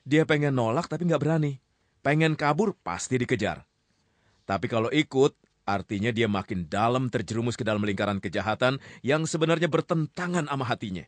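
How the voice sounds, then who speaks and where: A man's voice: medium pitch, expressive, a little fast, no room sound. A man hosting and narrating a comic crime story in a playful, teasing way. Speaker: storytelling host.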